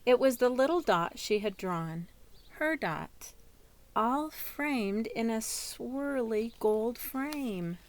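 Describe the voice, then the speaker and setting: A woman reading a children's picture book aloud as a storyteller, in a deliberate manner. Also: a little high, lively intonation. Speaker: storybook reader.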